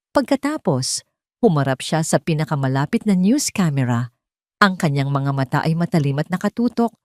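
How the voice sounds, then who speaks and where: A woman's voice: lowish voice, a little mellow, no room sound, studio quality. A woman reading a scripted story as a dramatic narrator.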